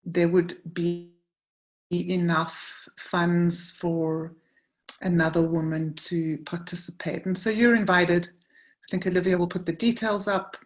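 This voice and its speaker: A woman from South Africa hosting a women's retreat circle on a video call, gentle and earnest, often restarting her sentences. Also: on the low side. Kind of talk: informal online circle chat.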